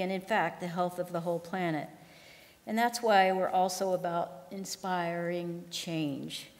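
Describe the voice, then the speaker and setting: A woman farm manager giving a conference keynote at a podium, speaking in a conversational way with frequent ums and restarts. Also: medium pitch. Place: large lecture hall. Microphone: podium microphone. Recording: long hall reverb.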